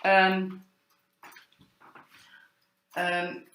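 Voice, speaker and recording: A woman giving an academic lecture as a university lecturer, speaking haltingly and thinking aloud. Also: mid-range voice, monotone, a bit bright. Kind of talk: academic lecture on medieval drama. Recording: roomy, echoing sound.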